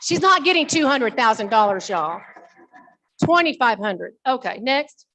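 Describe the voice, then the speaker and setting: A woman's voice: on the high side, expressive, a little slow, sharp tone, poor audio. A woman presenting at a members meeting in an informal, conversational way, with folksy asides to the audience. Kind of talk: informal spoken committee report to an audience.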